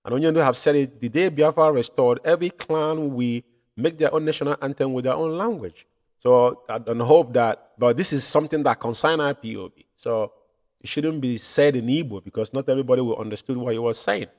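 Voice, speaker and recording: A man hosting a political commentary show from home, building into loud, impassioned rants. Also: medium pitch, a little fast. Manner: rises to loud impassioned ranting. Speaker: show host.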